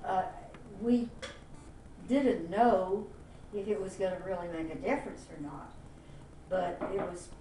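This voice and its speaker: A woman's voice: mid-range voice, steady tone, unhurried. An older woman from Miami, Florida, plainly recalling her youth in an oral history interview. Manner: plain-spoken, reflective. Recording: echoey room, mic at a distance, faint hiss.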